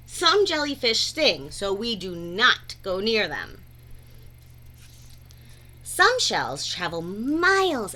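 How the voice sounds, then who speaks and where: Very animated woman reading a children's book. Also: fairly high pitch. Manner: animated, deliberate, sing-song phrasing. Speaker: read-aloud storyteller. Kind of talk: expressive rhyming children's picture-book read-aloud.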